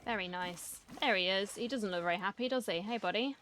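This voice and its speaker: A woman's voice: medium pitch, a little fast, a bit bright, faint music behind. A woman gaming streamer giving casual, chatty commentary and reacting aloud as she plays.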